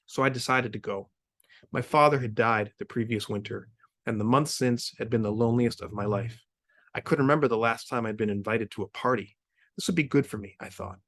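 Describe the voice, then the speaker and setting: A male author at an online literary reading. He presents his own short fiction in a middle-pitched voice. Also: brisk, a bit bright. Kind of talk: informal spoken introduction, then literary reading. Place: remote video reading series.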